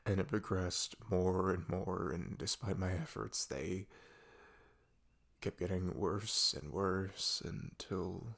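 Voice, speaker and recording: A low, gravelly young-love confession voice: a man acting as a hurting boyfriend in an emotional ASMR roleplay. He speaks in a hushed, breathy husk, haltingly, with long pauses, sounding fragile and weighed down. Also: fairly even intonation, full character voice. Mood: pained, halting. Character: depressed, vulnerable boyfriend confiding long-hidden grief.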